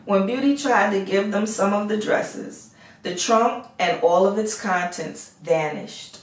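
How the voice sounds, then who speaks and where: Woman, fairy tale narration, slow measured pace, expressive, distant echoey recording. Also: medium pitch. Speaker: storyteller reading aloud. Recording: echoey room, distant mic.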